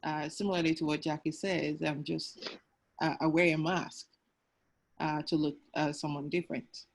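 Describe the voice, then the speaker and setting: A woman with a naturally loud voice, speaking frankly as a panelist over a video call, with many hesitant fillers. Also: low-pitched, level tone, normal speed, low-quality recording. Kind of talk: panel discussion.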